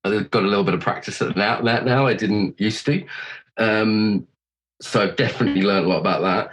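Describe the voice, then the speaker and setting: A middle-aged man talks in a loose, chatty way, swearing freely as he thinks out loud. He has a cold, and his voice sits a little low. He speaks as a podcast host who is being interviewed on his own show. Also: fairly quick, low-quality recording. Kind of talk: casual chat.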